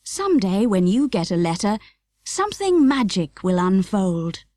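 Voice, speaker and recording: Lilting, unhurried woman reading children's verse. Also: medium pitch, big pitch swings, darkish tone, dry recording, clean studio sound. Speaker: audiobook reader. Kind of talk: scripted rhyming verse for children. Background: music.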